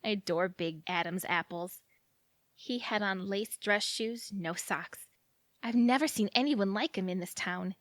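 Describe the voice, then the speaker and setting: A woman's voice: mid-range voice, unhurried, a bit bright, no echo, natural voice. Dreamy, talkative young small-town woman played by an actress. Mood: dreamy, proud. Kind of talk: naturalistic audio drama dialogue.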